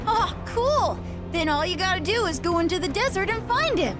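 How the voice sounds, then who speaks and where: A woman voicing an excitable, cheeky little child who talks in a blunt, childlike way. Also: very high voice, moderate pace. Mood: excited, cheeky. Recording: loud music over the voice.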